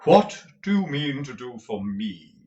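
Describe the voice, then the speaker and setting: A man voicing a pompous male tragedian actor who declaims in a deep, theatrical manner. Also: sing-song, measured pace, darkish tone, muffled recording. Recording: roomy echo.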